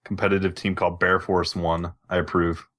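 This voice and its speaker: Low-voiced man hosting casually. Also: flat delivery, a little fast, fairly bright tone. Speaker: podcast host. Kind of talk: casual live gaming podcast chat.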